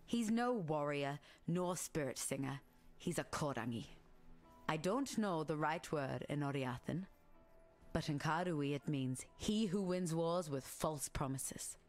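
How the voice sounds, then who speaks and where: A stern, low-voiced warrior woman. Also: expressive, darkish tone, soft background music. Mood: scornful. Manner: solemn, measured, formal. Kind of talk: scripted video game character dialogue.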